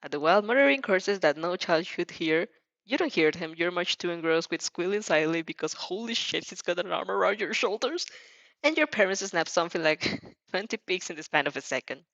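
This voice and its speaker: A woman reading fan fiction aloud in an expressive amateur style, giggly and stumbling over the text. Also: on the low side, brisk, gravelly, dry recording, lo-fi sound.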